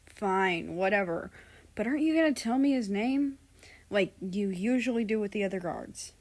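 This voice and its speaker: A woman's voice: medium pitch, animated, moderate pace, close to an everyday voice. A woman voicing a cocky, sulky young prince from a story, with an indignant, teasing manner. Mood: sulky, grudging.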